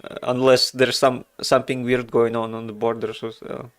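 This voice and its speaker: A man's voice: medium pitch, level tone, moderate pace, clean tone, dry recording. A man from Russia with a Russian accent, chatting casually while streaming a game, thinking aloud with many fillers. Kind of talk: casual gameplay commentary.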